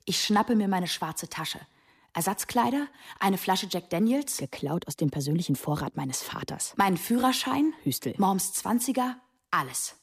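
A woman's voice: mid-range voice, big pitch swings, moderate pace, breathy edge, dry recording, studio quality. A woman playing a rebellious, sarcastic teenage girl in a radio drama, telling her story in a confiding, dramatic way. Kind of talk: radio drama narration and dialogue.

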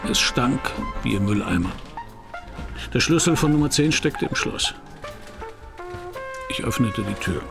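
An actor playing a hard-boiled, wary private detective in a German radio crime drama, terse and deadpan in narration and dialogue. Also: male voice, mid-range voice, measured pace, gravelly, long pauses, music in the background. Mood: grim, tense.

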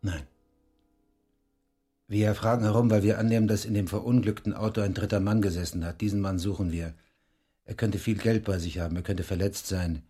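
Rural police detective, male, deep voice, wry and direct, radio drama. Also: steady tone, brisk, mellow, slightly rough, soft background music. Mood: matter-of-fact, warning. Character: flirtatious rural police detective, brusque boss, narrator of the tale. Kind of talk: German radio drama acting.

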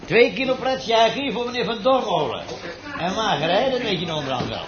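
An actor playing a chatty, nosy butcher in a Dutch radio play, folksy and polite yet prying. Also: male voice, high-pitched, expressive, fairly quick, crowd noise. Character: chatty, nosy male butcher serving a regular. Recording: dull noisy old radio recording.

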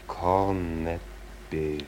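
A man's voice: deep voice, fairly even intonation, drawn-out words, dark tone. An actor playing a laconic, wry merchant seaman in a 1940s German radio play, terse and plain-spoken with a sardonic edge. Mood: curious. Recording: noisy 1940s radio drama recording.

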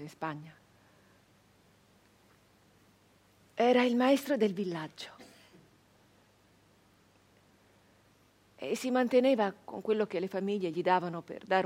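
An actress playing a passionate, wistful 19th-century village woman in an intense theatrical monologue, swinging between hushed and loud delivery. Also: fairly low pitch, natural voice. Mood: reflective.

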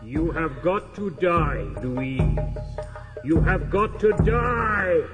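An actor playing a menacing, ghostly man with a drawn-out call in a radio drama. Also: fairly high pitch, lively intonation. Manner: slow, drawn-out calling. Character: menacing ghostly man calling his victim to death. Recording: distant voice under music.

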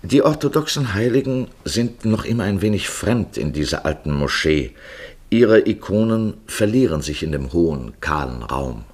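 Very low husky man narrating. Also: very animated, moderate pace, a little mellow. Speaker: second narrator. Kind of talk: literary radio play narration.

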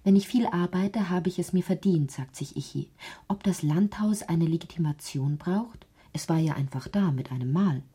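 A musing female narrator of an absurd literary radio play. She has a low, soft-edged, mellow voice and speaks in deliberate, measured phrases, recorded very dry and close. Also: breathy edge, no room sound. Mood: musing, wry. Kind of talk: literary audio drama narration.

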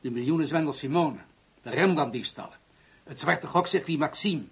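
A formal police commissioner in a radio play. His voice sits a little high for a man and is heavily husky. He argues firmly and with reason, defending his methods. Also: normal speed, fairly bright tone, heavily acted. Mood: measured, defensive. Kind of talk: Dutch radio play acting.

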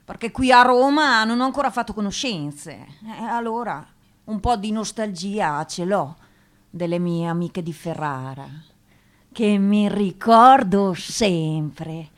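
A woman's voice: mid-range voice, expressive, slow pace. An actress playing a shy, pious eighteen-year-old provincial girl with a hesitant, stammering, naive way of talking in a radio drama. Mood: guarded, shy. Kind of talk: radio drama interview monologue.

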